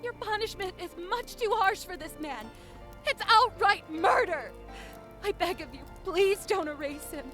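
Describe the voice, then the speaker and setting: A woman playing a gentle young dream maiden in an audio drama, earnest and imploring. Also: very high voice, lively intonation, measured pace, faint music behind, exaggerated voice. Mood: desperate pleading.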